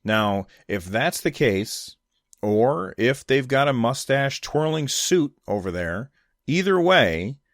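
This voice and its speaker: A man's voice: mid-range voice, lively intonation, takes it slowly, no room sound, clean studio sound. A man hosting a solo podcast, giving casual opinion commentary in an emphatic, conversational way. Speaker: podcast host.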